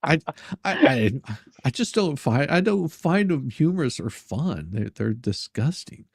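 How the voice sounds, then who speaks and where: Animated man, sarcastic and stammering. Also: medium pitch, unhurried, vocal fry, breathy edge, dry recording, studio quality. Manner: stammering, repeats words as he searches. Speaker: livestream co-host. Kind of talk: casual sarcastic livestream chat.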